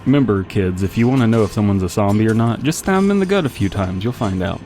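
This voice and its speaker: A man's voice: on the low side, animated, quick pace, warm tone. A man giving casual, rambling commentary as he plays a video game, with wry joking asides. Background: game music and gunfire.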